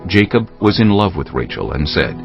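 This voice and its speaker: A man's voice: low voice, sing-song, normal speed, vocal fry. A man narrating a dramatized audio Bible in a solemn storytelling style. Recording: slightly dull sound, music underneath. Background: music.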